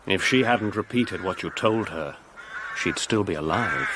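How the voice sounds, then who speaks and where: An actor playing a grandiose, fanatical murderer in a radio play, speaking in solemn, incantatory phrases. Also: male voice, low-pitched, expressive, measured pace, noisy background. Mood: ritual, hypnotic. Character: grandiose, fanatical murderer luring his child victim.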